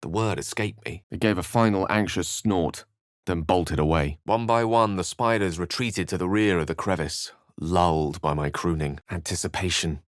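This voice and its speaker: Man playing an eloquent, low-voiced wizard. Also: dry recording, studio quality, natural voice. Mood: grave, thoughtful. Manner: eloquent, measured, theatrical. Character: erudite, well-spoken male wizard adventurer, thoughtful and wry. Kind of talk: video game voice acting, dialogue and narration.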